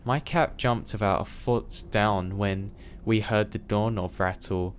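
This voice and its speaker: Low-voiced man narrating scary stories. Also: steady tone, measured pace, a little hiss or hum. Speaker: storyteller. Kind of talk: reading true scary stories aloud.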